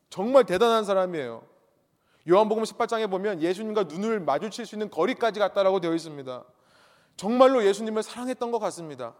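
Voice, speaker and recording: High-voiced pastor preaching in a reverberant hall. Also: male voice. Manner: emphatic, repeats key points, asks the congregation rhetorical questions. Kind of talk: sermon.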